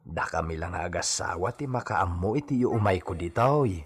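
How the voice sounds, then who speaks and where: An actor playing a humble, worried servant with a husky voice, deferential and pleading, in an audio drama. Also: male voice, deep voice, animated, normal speed. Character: humble, worried male servant speaking to mistress. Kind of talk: Filipino audio drama acting.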